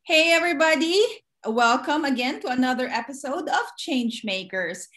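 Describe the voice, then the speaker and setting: Bubbly woman host, 40s, kinda high voice, laughs a lot. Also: animated, normal speed, a bit bright. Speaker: podcast host. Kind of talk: casual interview chat.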